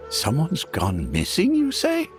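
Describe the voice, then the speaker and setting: A man playing a kindly, polite old village grandfather in a video game, mildly bemused and unhurried. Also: fairly high pitch, wildly swooping pitch, faint music behind. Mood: puzzled, polite. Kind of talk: video game character acting.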